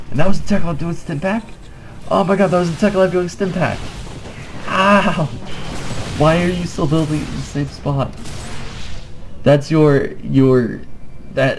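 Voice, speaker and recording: Young guy with a blocked nose doing a mellow, quiet game cast, kinda gravelly. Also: mid-range voice, slight background noise, low-quality recording. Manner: mellow, quiet, joking asides. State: stuffed-up nose, lightheaded. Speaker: game caster. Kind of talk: laid-back game commentary.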